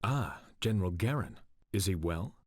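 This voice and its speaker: An actor playing a brooding, grim exiled warrior lord in a video game cutscene. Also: male voice, deep voice, expressive, normal speed, no room sound, clean studio sound. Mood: guarded.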